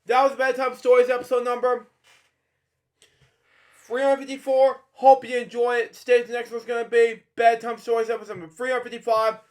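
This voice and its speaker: A man reading a children's storybook aloud, stumbling, restarting and adding rambling side comments. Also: high-pitched. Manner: halting, with frequent restarts and filler comments.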